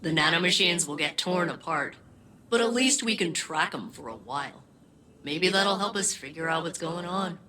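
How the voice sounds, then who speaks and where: Low-voiced wry tech operative. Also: female voice, animated, moderate pace, bright, forceful tone. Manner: informal, wry. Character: casual, playful, wry female tech-minded field operative. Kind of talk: video game voice acting.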